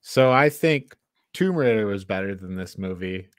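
Guy podcast host chatting casually about movies, lowish voice, dry close sound. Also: animated, moderate pace, dry recording. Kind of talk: casual film review discussion.

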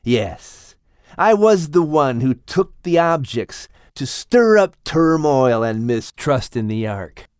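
A menacing king cobra in an audiobook tale. The delivery is extremely slow and drawn out, swooping up and down as it gloats and taunts. The sound is dry and close. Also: mid-range voice, big pitch swings, clean tone, no room sound. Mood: smug, boastful. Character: menacing, boastful male king cobra villain. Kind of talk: dramatized children's audiobook acting.

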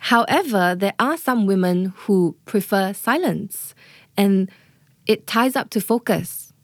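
A woman's voice: medium pitch, expressive, takes it slowly, no echo, clean studio sound. A woman working as a doula, explaining birth in an earnest interview manner and often checking in with "right?" and "you know". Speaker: doula, guest.

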